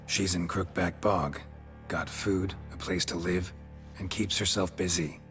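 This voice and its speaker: An actor playing a stoic, cynical monster hunter for hire in a video game, speaking in terse, deadpan sentences. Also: male voice, sits low, a little slow, faint music behind. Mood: matter-of-fact. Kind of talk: understated video game acting.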